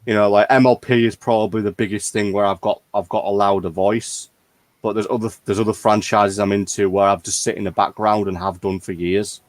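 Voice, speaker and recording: A man's voice: lowish voice, little pitch movement, fairly quick, no echo. Casual male chat host, close dry sound. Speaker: host of a fan community chat show.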